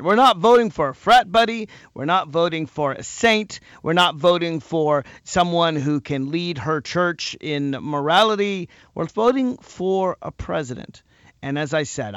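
A male radio talk show host with a loud voice, arguing politics in an animated, emphatic way, often repeating himself and laughing. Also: on the high side, no room sound, clean studio sound.

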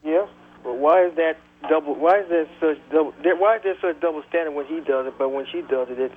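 A man calling into a talk radio show, his voice thin and tinny over the phone line. He starts hesitantly and repeats himself, then presses his point with indignation. His voice sits slightly higher than most men's. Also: quick pace, a little hiss or hum. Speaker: caller.

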